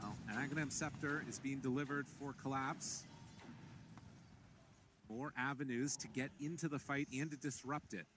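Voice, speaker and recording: A man's voice: mid-range voice, steady tone, moderate pace, music in the background. A man doing live play-by-play commentary on an esports match, calling the action in a reactive, dramatic way.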